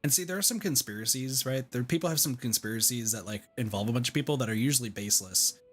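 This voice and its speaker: A man's voice: mid-range voice, fast talker, breathy edge. A man giving casual, reflective livestream commentary on a game in an informal way. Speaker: game streamer. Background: faint game music.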